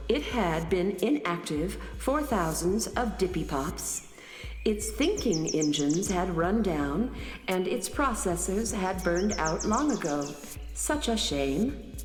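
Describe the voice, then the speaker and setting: Sentient starship-planet, played by a woman: serene, grand, unhurried lilt drenched in echo, music underneath, children's radio drama. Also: lowish voice. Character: serene, self-assured female intelligent starship merged with living planet. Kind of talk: children's audio drama acting. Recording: big echoing reverb over music.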